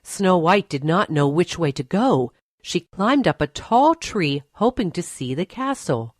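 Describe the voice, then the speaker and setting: A woman narrating a scripted fairy tale for children, expressive and carefully phrased. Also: on the low side, measured pace, no room sound, clean studio sound. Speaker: storyteller.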